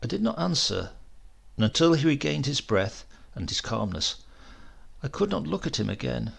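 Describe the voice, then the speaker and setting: Male audiobook reader, English accent, mid-pitched with a gravelly creak, measured and expressive literary narration.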